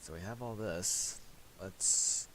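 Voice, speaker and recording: A man giving a casual, unscripted software tutorial, halting as he thinks aloud while he works. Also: sits low, low-quality recording. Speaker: tutorial host. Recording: hissy home recording with mouse clicks.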